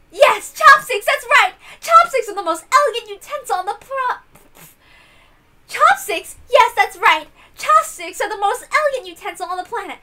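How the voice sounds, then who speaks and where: Super high sharp smug young lady for a visual novel, sarcastic, showy, big exclamations. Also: heavily acted. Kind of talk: hobby visual novel voice reading.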